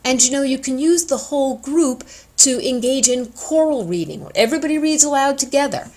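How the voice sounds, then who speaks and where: A woman giving an informal instructional talk as a teacher, conversational and emphatic, stressing key words deliberately. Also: high-pitched, lively intonation, a little mellow. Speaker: education instructor addressing student teachers.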